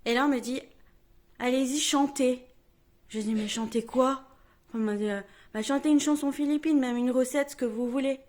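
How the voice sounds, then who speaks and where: Woman, vlogger, higher voice, casual storytelling, animated, self-mocking. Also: normal speed. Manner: chatty, self-mocking, lively quoted voices. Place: at home.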